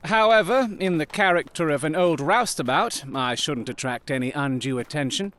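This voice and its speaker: A man playing a shrewd, commanding gentleman detective in an audio drama, speaking formally and imperiously. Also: fairly high pitch, animated, normal speed. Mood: brisk, assured.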